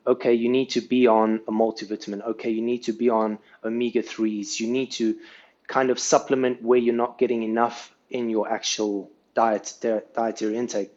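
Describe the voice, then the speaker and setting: A man's voice: medium pitch, flat delivery, moderate pace, darkish tone, muffled recording. A South African man, a podcast guest, telling his life story in a casual, earnest way and often ending sentences with "right?".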